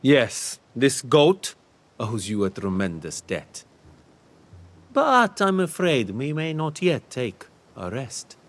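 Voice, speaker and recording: An actor playing a dignified, grave older sage in a fantasy game, with formal and deliberate speech. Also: male voice, medium pitch, very animated. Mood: grave, courteous.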